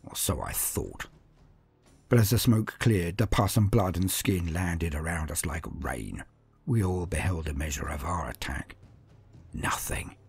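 A man's voice: deep voice, measured pace, slightly rough, no echo, faint music behind, full character voice. A man voicing a grim armoured space marine who recounts battle in a solemn, martial, disdainful storytelling manner. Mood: grave, intense. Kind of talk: first-person dramatic storytelling.

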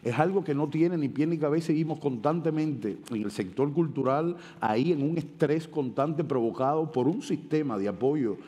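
A man from Cuba in his sixties with a Cuban accent, speaking on a panel debate, animated and hesitant, on a headset mic on an auditorium stage. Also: fairly high pitch, normal speed, few pauses. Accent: Cuban Spanish. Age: 60s. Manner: animated, gestures widely, many hesitations. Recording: long hall reverb.

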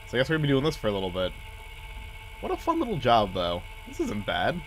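A man's voice: mid-range voice, wildly swooping pitch, fast talker. Man giving casual game commentary over noise. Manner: talks back to game characters, thinks aloud. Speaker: gaming video host. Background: game ambience.

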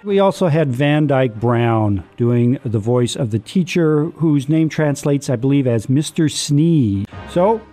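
A man hosting a radio show, giving a halting, semi-scripted sign-off. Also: medium pitch, expressive, mellow. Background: music.

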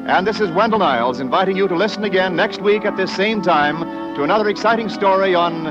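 A man's voice: high-pitched, fast talker. An American man announcing a scripted radio sign-off in formal, polished, emphatic 1940s style. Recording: dull 1940s radio recording, loud music.

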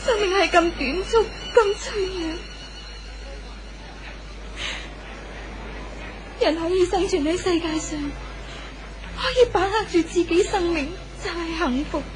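An actress with a Hong Kong Cantonese accent playing a sorrowful, reflective young woman in a 1970s radio drama, speaking in a measured, wistful way. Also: very high-pitched, music in the background, poor audio. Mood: sorrowful, philosophical.

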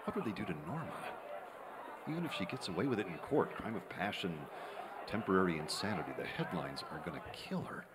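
A man's voice: deep voice, expressive, a little fast, over a noisy crowd, very noisy. An actor playing a wry, cynical middle-aged man narrating his own murder in a radio noir drama. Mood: wry, bitter. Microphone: vintage-style stand microphone on stage. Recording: live theatre, long hall reverb, audience.

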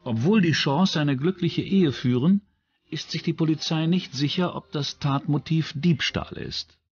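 A man's voice: on the high side, unhurried, husky, dull audio. A man reading scripted true-crime documentary narration as a voice-over. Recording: voice-over with prominent music. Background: music.

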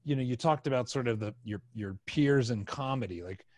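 A man in his forties hosting a casual interview, halting and rephrasing his questions as he goes. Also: medium pitch, a little mellow, no room sound, studio quality. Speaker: podcast host. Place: small home studio room, indoors. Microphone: close podcast microphone on a video call.

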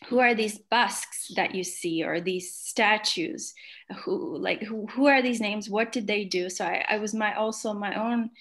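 A woman's voice: mid-range voice, a little slow, a bit bright. A woman in her thirties, born in Slovakia, speaking as a book author on a video call, thoughtful and full of fillers and restarts. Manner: thoughtful, self-correcting, many fillers.

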